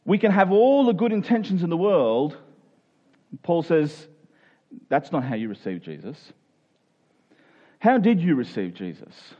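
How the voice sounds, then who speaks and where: A male preacher with a fairly high voice teaches from scripture in a conversational way. He explains each point, repeats key phrases and checks that listeners are following. The recording sounds a little dull and lo-fi. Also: very animated, measured pace, long pauses. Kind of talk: expository Bible teaching sermon, conversational.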